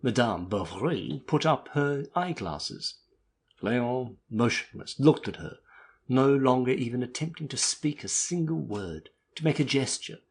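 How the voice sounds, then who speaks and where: A man narrating a classic novel as a dramatic audiobook, with a husky voice. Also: medium pitch.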